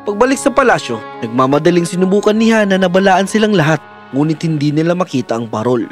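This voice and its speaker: A man's voice: high voice, expressive, fast talker, soft background music. A man narrating a scripted fairy tale in a dramatic storytelling manner.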